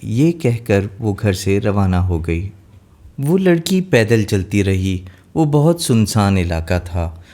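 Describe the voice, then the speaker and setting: A man's voice: fairly low pitch, very animated, takes it slowly, warm tone. A man narrating a scripted fairy tale as a storyteller.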